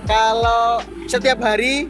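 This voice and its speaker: A man in his twenties with an East Javanese Indonesian accent, answering interview questions casually and haltingly as he searches for words. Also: very high voice, level tone, music in the background. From Probolinggo, East Java, Indonesia. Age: 20s.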